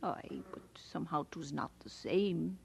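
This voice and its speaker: An actress playing a brisk, outspoken middle-aged Scottish aunt in an audio drama, with a husky voice. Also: female voice, sits low, darkish tone, exaggerated voice. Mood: wistful.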